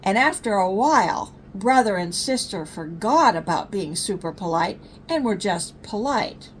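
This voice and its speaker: Older female storyteller for bedtime tales: unhurried, animated, medium pitch, slight background noise. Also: rough recording. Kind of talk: children's bedtime story reading. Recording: faint low rumbling background noise.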